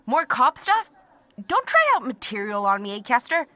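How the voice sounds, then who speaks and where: A woman plays a brash, self-important stand-up comic in a comedy audio sketch. Her delivery is scornful and bright, with animated swings in pitch. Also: moderate pace, dry recording. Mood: indignant. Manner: scornful, forceful.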